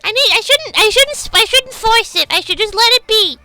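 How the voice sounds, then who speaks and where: A woman livestreamer with a high-pitched, gravelly voice, chatting casually and bluntly with viewers, excitable and sweary as she rambles. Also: normal speed. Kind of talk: casual stream chat, reading out and answering viewer comments.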